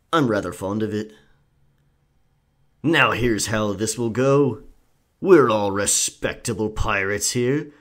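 A man playing a cocky, sly pirate rogue in an audio roleplay, with theatrical, taunting and charming delivery. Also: mid-range voice. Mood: bold, bargaining. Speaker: ASMR roleplay performer. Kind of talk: pirate ASMR roleplay acting.

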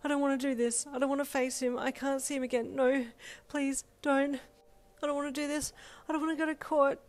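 A woman's voice: sits high, little pitch movement, fairly quick, voice pushed up, natural voice. A woman voicing a frightened, pleading young woman who gasps and sobs in panic, in an audio story. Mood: panicked pleading. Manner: gasping, breathless pleading.

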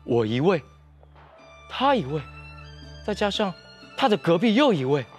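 Man acting out an excitable, exclaiming storybook guest. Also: high voice, a little slow, faint music behind. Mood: eager, dismayed. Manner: exclaiming, theatrical. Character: first guest, eager male storybook drinker, excitable.

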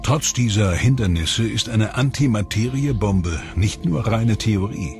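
A man giving measured, explanatory scripted voice-over narration for a documentary. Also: lowish voice, expressive, vocal fry, darkish tone. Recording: voice-over with music behind.

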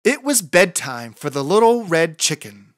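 A woman reading a children's storybook aloud in an expressive narrating style. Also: fairly low pitch, a little slow, no echo, studio quality.

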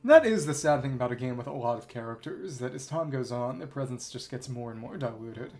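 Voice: mid-range voice, expressive, brisk, a little mellow. A game streamer giving casual commentary, thinking aloud and reading bits of dialogue in fragments. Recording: game music behind the voice. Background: video game music.

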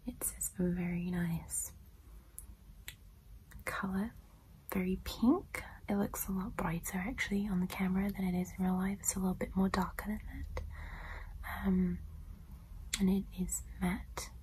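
A young woman whispering softly and gently in a casual, unhurried ASMR style as she presents things close to the mic. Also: medium pitch, steady tone, slight background noise, low-quality recording. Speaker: ASMR video host. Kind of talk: soft-spoken whispered ASMR product show-and-tell.

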